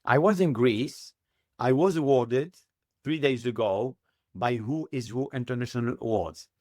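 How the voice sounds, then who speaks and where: Man, middle-aged, halting upbeat English, dry close sound. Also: medium pitch, a little mellow, dry recording. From Tunisia. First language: Arabic. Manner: halting non-native English, earnest and upbeat. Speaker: guest. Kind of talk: interview answers.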